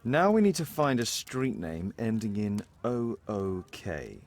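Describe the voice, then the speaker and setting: A man playing a brisk, analytical detective in a video game, confident and commanding, with precise, clipped delivery. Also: on the low side, sing-song, a little mellow, slight background noise. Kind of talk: dramatic video game acting.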